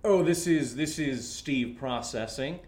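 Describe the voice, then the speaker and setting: A man acting in a horror audio drama as an excitable, sarcastic friend, with an animated, halting, rambling delivery. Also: medium pitch, close to an everyday voice. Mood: rambling, wry. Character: excitable, sarcastic, rattled male friend who drinks.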